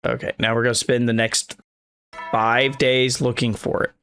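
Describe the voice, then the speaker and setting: A man's voice: mid-range voice, little pitch movement, moderate pace, a bit bright, a little hiss or hum. A man doing a casual video game playthrough, reading the text aloud with stumbles and wry asides. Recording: game music playing behind the voice. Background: video game music.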